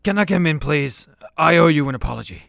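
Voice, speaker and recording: A man's voice: a little high, lively intonation, a little fast, vocal fry, a bit bright, no echo, studio quality, heavily acted. A man playing a weary, hard-drinking immortal Greek superhero in a comedy radio play, hesitant, sheepish and self-deprecating. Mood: sheepish, apologetic.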